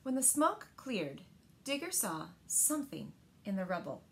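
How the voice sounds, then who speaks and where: A woman in her thirties reading a picture book aloud to children in a gentle, deliberate manner, pausing to ask them questions. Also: medium pitch, sing-song, vocal fry, a little hiss or hum. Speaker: library storyteller. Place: indoors, small living room by windows.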